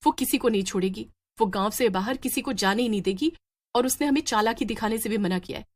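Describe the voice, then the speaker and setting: A woman's voice: a little high, quick pace, natural voice. Woman playing a determined, insistent village mother. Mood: alarmed. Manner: earnest, insistent, scolding.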